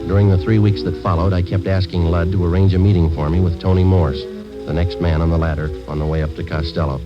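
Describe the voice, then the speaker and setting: A dull vintage radio recording of an American actor as a deadpan undercover police sergeant. His voice sits very low and he rattles out facts quickly, weary and businesslike, in clipped radio-drama narration. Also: lightly acted. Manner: deadpan, matter-of-fact. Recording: dull old radio recording, music behind.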